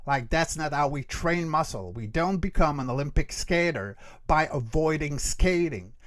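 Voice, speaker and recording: A man's voice: a little high, expressive, moderate pace, fairly bright tone. A middle-aged man speaking as an expert interview guest, explaining earnestly and emphatically and often checking that the listener follows. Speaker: interview guest, creature voice actor and vocal coach.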